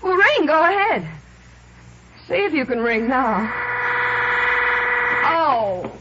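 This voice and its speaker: An actress playing a frightened, secretive young woman in a 1950s radio drama, speaking haltingly and nervously. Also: high voice, big pitch swings, heavily acted. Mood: frantic, exasperated. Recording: muffled, noisy vintage radio recording.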